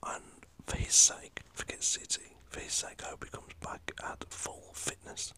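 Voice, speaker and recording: A man's voice: normal speed, bright, forceful tone, dry recording. A man from the north of England with a northern English accent, whispering a rambling, chatty football talk for an ASMR channel. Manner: rambling, chatty, swears mildly. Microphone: camera set up in front of him.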